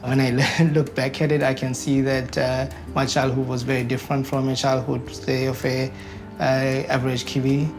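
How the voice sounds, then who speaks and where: A young man from Sri Lanka, speaking as an interviewee about his life, hesitant with many pauses. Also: medium pitch, level tone. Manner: hesitant, many ums and uhs. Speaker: interviewee, community advocate. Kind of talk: recorded interview, reflective. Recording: background music under voice.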